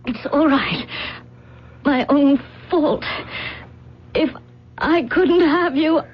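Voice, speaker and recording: A lovelorn, betrayed young woman at death's door. Her high voice is slow and halting, with the melodrama of an old radio play. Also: lively intonation, heavily acted. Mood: dying, resigned. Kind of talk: old radio drama acting. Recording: muffled old radio recording.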